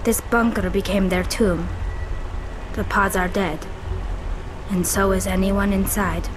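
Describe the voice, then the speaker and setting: A woman's voice: medium pitch, steady tone, takes it slowly, background noise, lightly acted. An actress voicing a young alien female scientist, thoughtful and explanatory. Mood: earnest. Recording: game audio with strong music.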